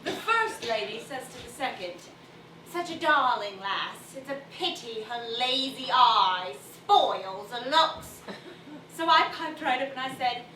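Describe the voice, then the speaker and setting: An actress playing a cheerful, witty young Victorian daughter in a stage play, playful and articulate. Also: female voice, on the high side, expressive, unhurried, bright, forceful tone. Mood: mischievous. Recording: echoey stage, distant mic, faint noise.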